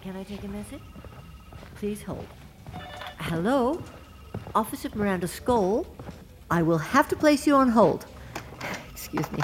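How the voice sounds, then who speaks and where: An actress playing a polite, flustered new secretary who sticks stubbornly to the rules, formal and halting, in a naturalistic audio drama. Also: female voice, medium pitch, expressive, faint music behind, lightly acted. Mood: flustered, officious.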